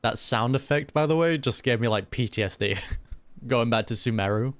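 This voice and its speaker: A man's voice: mid-range voice, moderate pace, noisy background. A man giving casual, slangy live commentary as a gaming streamer, with wry asides. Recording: game music behind the commentary.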